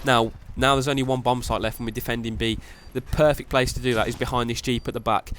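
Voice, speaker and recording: A man's voice: medium pitch, slight background noise. A young man with a cold, sounding nasal, commentating over gameplay and giving tips, often saying "very, very". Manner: quick, even delivery with repeated "very, very". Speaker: gaming commentator. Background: game gunfire and explosions.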